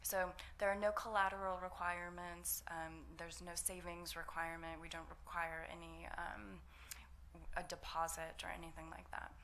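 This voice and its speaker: A woman with a slightly low, creaky voice speaks as a nonprofit representative at a press conference. She explains in measured, orderly steps, with some faint noise in the room. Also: little pitch movement, fairly bright tone.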